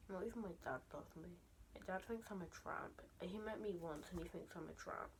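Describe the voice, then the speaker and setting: A teenage girl venting to camera late at night, speaking softly and often in a whisper, raw and emotional, her tone shifting as she goes. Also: fairly low pitch, fairly quick, slight background noise. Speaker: vlogger. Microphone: camera mic.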